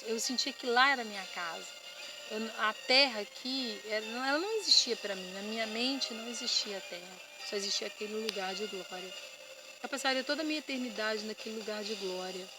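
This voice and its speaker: A woman pastor giving an earnest personal testimony to camera, often pausing and repeating words as she searches for them. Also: mid-range voice, background noise. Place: indoors.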